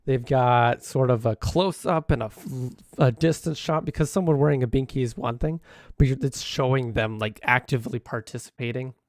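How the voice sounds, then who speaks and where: A hoarse man live-streaming, casually critiquing videos with many fillers and restarts. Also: mid-range voice, moderate pace, no echo, clean studio sound.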